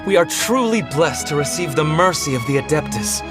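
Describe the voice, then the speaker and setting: A man's voice: fairly high pitch, lively intonation, moderate pace, lightly acted. A man voicing a humble, grateful poor young man in a video game, earnest and deferential. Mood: humble, resolved. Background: game music.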